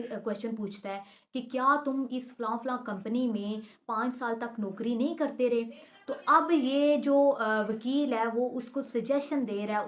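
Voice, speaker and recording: A woman with a Pakistani accent and a fairly high voice teaches in an explanatory lecture style. She works through points step by step, pausing to search for words and restating them as she goes. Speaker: law lecturer.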